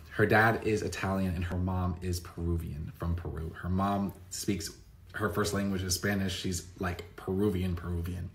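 Low-voiced man rambling to his phone. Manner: rambling, restarts often, many "like" and "um" fillers. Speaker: vlogger answering viewer questions. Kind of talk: casual to-camera chat. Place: indoors in a bright home room.

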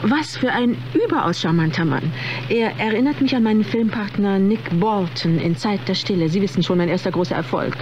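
Casting: actress playing affected, bored female star, mid-pitched, grand manner, radio play with clear background noise. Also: brisk, darkish tone, lo-fi sound, lightly acted. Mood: self-absorbed. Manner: grand, affected, self-absorbed. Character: vain, bored female diva, film star and singer. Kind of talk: radio drama acting.

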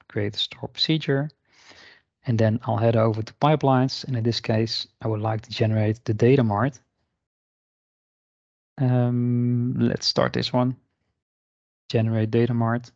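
A man narrating an unscripted screen-share software tutorial in an informal, explanatory way. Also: mid-range voice, fairly even intonation, a little slow, gravelly, no echo, dull audio. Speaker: software demonstrator. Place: indoor voice-over for screen recording.

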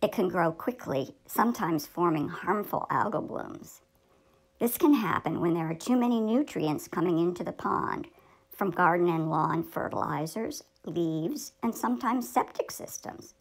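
A woman's voice: lowish voice, animated, a little slow, gravelly, exaggerated voice. A woman playing a knowledgeable fifth-grade girl who explains things earnestly in a scripted puppet show. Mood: explaining. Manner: explanatory, instructive.

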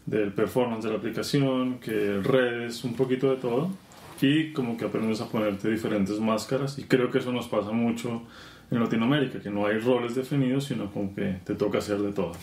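A Colombian man guest talking casually about his work in software testing, relaxed with many fillers. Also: mid-range voice, fairly quick. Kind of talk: casual interview. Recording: roomy conference venue.

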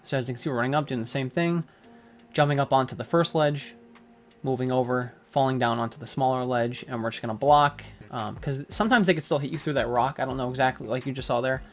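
A man giving a relaxed, casual walkthrough of a game over gameplay, with frequent fillers. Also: medium pitch, quick pace, soft background music. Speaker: gaming guide creator.

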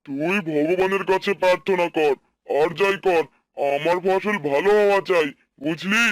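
A man's voice: high-pitched, normal speed, no room sound, lightly acted. A man voicing a stern, demanding village headman and landowner in a cartoon. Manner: bossy, demanding. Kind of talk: Bengali cartoon dialogue dubbing.

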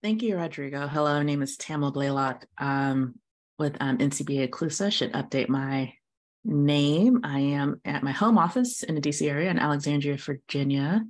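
Low-voiced cheerful woman at home. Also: moderate pace. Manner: cheerful, laughing. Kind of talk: casual video call introduction. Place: home office, indoors.

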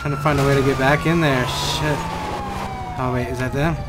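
Man commentating gameplay over loud sirens. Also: medium pitch, fairly even intonation, moderate pace. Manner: narrates own actions in police jargon and slang. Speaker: gaming video host. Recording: loud vehicle and siren noise. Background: game police sirens and vehicle sounds.

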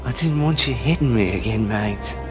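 A man's voice: lowish voice, lively intonation, moderate pace, natural voice. Man as a sly pirate rogue, echoey. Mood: flippant. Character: sly, flippant, roguish adult male pirate. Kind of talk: video game cutscene acting. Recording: echoey cave reverb, music behind.

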